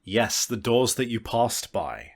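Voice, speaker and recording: A man acting as game master, improvising narration for a tabletop roleplaying game in an informal, halting, explanatory way. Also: medium pitch, very animated, creaky, husky.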